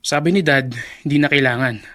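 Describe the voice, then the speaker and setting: A man's voice: a little high, fairly quick, bright, forceful tone. A male actor playing a smug, dismissive, privileged congressman's son in a Filipino radio drama, with a Filipino accent.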